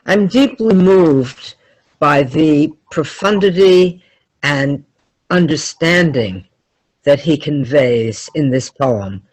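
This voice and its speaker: A man in an audience giving an earnest personal response in measured, deliberate phrases. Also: fairly high pitch, animated, poor audio. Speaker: audience member.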